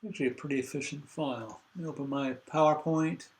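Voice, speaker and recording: An older man lecturing a university class over a video call, congested, halting and full of fillers as he thinks aloud. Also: on the high side, steady tone, echoey room, low-quality recording.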